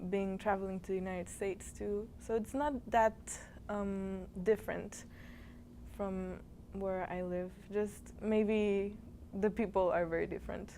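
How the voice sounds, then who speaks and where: Young woman from Mexico, mid-pitched. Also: warm tone, slight background noise. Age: young adult. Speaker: student cellist. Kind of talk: casual interview answers.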